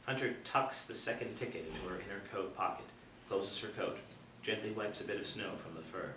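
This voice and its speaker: A man narrating stage directions at a screenplay table read in measured, dramatic storytelling phrases. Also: lowish voice. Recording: echoey room, distant, faint noise.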